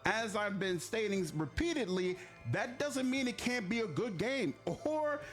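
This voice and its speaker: A man giving conversational, explanatory opinion commentary as a video game reviewer, measured but emphatic on key words. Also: high-pitched, animated, a bit bright, faint music behind.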